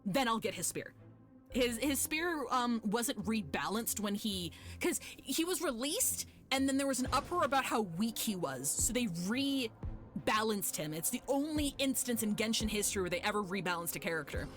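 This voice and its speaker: A woman's voice: fairly high pitch, expressive, moderate pace. A woman streaming a video game live, commenting casually in an informal, sweary, self-mocking way. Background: game music.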